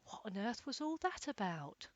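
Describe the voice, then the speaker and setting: A woman voicing a kind, gentle lady who talks softly and sweetly to a shelter dog, in a storybook reading. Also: sing-song, normal speed, no echo. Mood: cooing. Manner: soft, coaxing, high and sing-song. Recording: dry, slightly dull lo-fi.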